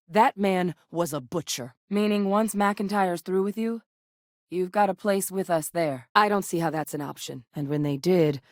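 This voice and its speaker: Actress as a low-voiced, composed woman scientist. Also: no room sound, natural voice. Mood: firm, earnest. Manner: measured, earnest, dry wit. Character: composed, principled woman scientist in space, guarded and wry. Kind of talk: video game character dialogue.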